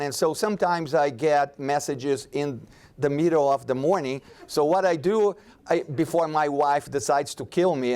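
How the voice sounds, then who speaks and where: Male panelist, higher voice, casual talk with frequent fillers. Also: a little mellow. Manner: conversational, many restarts and fillers. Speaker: conference presenter.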